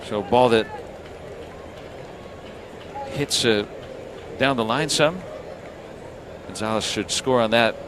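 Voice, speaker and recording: An American man doing live radio baseball play-by-play, talking conversationally and pausing between pitch calls. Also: background noise. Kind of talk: live radio sports commentary.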